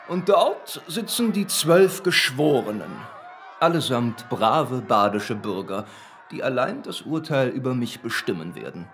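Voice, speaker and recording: Sardonic, self-assured professor in the dock. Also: male voice, mid-range voice, a bit bright. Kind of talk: German radio play acting.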